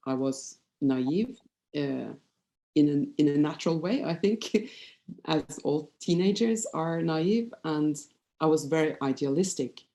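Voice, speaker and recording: Low, mellow Norwegian woman, halting delivery. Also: lively intonation. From Norway. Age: 50s. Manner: hesitant, halting, candid. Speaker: psychologist giving a presentation. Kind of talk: informal conference presentation with personal storytelling.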